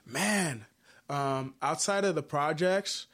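A man's voice: on the high side, slow pace, fairly bright tone. Earnest man from Haiti, musician. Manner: earnest, reflective, many fillers and restarts. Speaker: musician guest. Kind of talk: casual interview chat.

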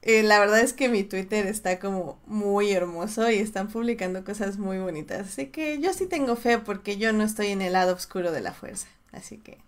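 A woman's voice: medium pitch, animated, a little fast, hardly any pauses. A woman hosting a casual panel chat, talking expressively and often repeating her phrases. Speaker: podcast host moderating the panel.

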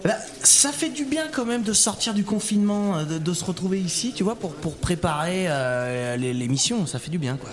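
A man's voice: high voice, expressive, moderate pace, few pauses, long reverb tail. A man hosting a podcast, chatting casually and hesitantly with many fillers. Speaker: podcast host, interviewer. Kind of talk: casual interview chat.

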